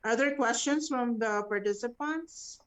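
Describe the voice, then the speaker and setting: A woman's voice: a little high, unhurried. A woman with a Filipino accent and Cebuano as her native language, briefing a meeting informally in an instructive way, often adding little checking tags. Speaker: program coordinator leading an online meeting. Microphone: video call. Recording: dull, lo-fi video call audio.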